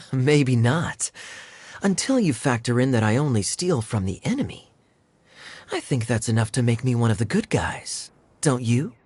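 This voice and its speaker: A woman voicing a composed, elegant, gently teasing master thief in a video game, measured and playful. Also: extremely low pitch, very animated, no room sound, heavily acted. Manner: smooth-talking, measured, playful. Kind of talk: video game character acting.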